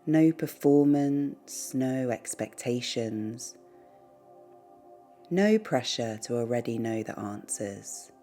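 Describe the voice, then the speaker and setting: A woman leading a guided meditation in a soothing, gentle, unhurried way, pausing between short phrases. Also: rumbling low, lively intonation. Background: background music.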